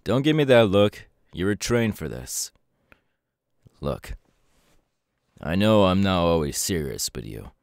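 A man playing a serious pro hero mentor in an ASMR audio roleplay, giving earnest, measured instructions to a protégé. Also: deep voice, dry recording, clean studio sound. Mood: serious, trusting.

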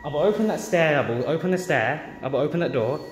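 A man's voice: on the high side, fast, poor audio. A young man giving a casual running commentary on a handheld phone inside a lift. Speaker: amateur lift vlogger. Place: lifts and lobby inside a public building. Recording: handheld phone, loud background noise, clicks.

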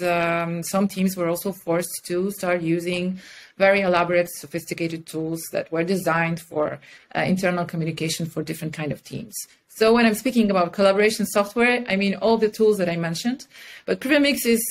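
A woman's voice: on the low side, slight background noise. A woman speaking enthusiastically and conversationally as an interview guest on a video call. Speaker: podcast guest, communications head and mentor. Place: indoor room with curtains and plants, on a video call.

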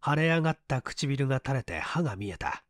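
A man narrates a mystery story as an audiobook reader. The sound is dry and close, and his voice has a medium pitch for a man. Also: very animated, brisk, no echo.

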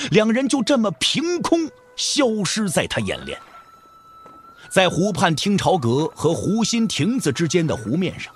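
A man's voice: high voice, slight background noise. A man narrating a martial arts novel for an audio drama in a dramatic storytelling style.

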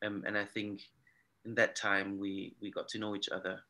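A man's voice: lowish voice, little pitch movement, moderate pace, sharp tone. A man, giving casual interview answers on a video call. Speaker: actor, panel guest. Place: room at home on a video call. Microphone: computer mic on video call.

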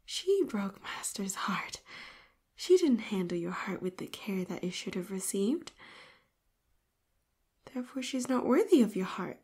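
Woman voicing a possessive young robot girl, breathy half-whisper, slow and doting, ASMR roleplay. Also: mid-range voice, big pitch swings, darkish tone. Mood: indignant. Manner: doting, speaks to listener as Master. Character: devoted young female robot assistant, possessive. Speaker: voice actor.

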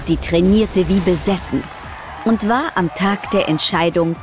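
A woman's voice: fairly low pitch, measured pace, over music. A woman narrator telling a scripted story in an audio drama.

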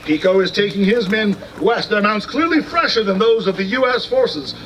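Man playing a formal battle commentator, polite, high-pitched, very animated, distant outdoor sound with slight noise. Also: normal speed. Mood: expectant, narrating. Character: courteous, curious battlefield commentator questioning guests. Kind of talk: live scripted historical reenactment narration. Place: outdoor stone amphitheater in open scrubland. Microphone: stand mics, recorded from a distant camera. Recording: distant outdoor recording, faint ambient noise.

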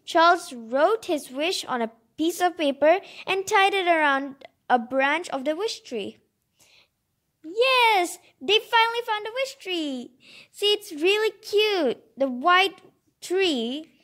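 A woman's voice: very high voice, expressive, slow, fairly bright tone. A woman reading a picture book aloud expressively, adding informal, enthusiastic asides of her own. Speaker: storybook reader and commentator. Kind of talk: read-aloud storytelling with chatty asides.

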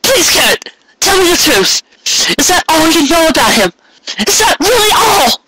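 A man's voice: extremely high pitch, very animated, moderate pace, heavy background noise, voice pushed up, full character voice. A man voicing a distraught female cartoon pony, breathless, pleading and accusing in an amateur story reading. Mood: desperate, demanding. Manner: breathless, pleading, repeating herself.

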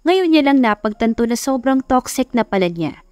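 A woman's voice: on the high side, animated, normal speed, a little mellow, clear, resonant tone, no room sound. Dry-sounding woman narrator. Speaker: audiobook narrator. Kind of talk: scripted romance story narration.

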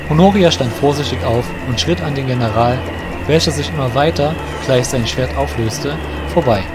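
A man's voice: medium pitch, normal speed, very noisy. A man narrating a scripted fantasy audio drama as its storyteller. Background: music.

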